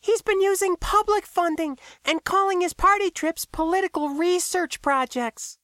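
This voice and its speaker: A storybook narrator voicing a clever, excitable young woman who speaks eagerly and precisely. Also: very high voice, normal speed, no room sound, studio quality, pitched above the performer's own voice. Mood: pointed.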